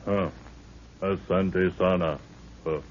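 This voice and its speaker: Mighty warrior prince, very deep, slow, terse grunts, old radio serial with dull sound. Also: male voice, steady tone. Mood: solemn. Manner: few words, grunting, broken English. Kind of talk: 1930s radio acting. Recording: dull 1930s radio recording.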